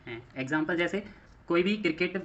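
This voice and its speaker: A man's voice: on the high side, animated, fairly quick, a bit bright, muffled recording. A man with an Indian accent teaching like a coaching instructor, explaining step by step, repeating phrases and posing questions to himself. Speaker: physics teacher for exam coaching. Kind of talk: classroom lecture mixing Hindi and English terms.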